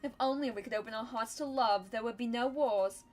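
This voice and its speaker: A woman voicing a brash teenage girl prankster who mocks loudly and melodramatically. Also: on the high side, fairly quick, faint music behind, lightly acted. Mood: melodramatic. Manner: loud, mocking, melodramatic.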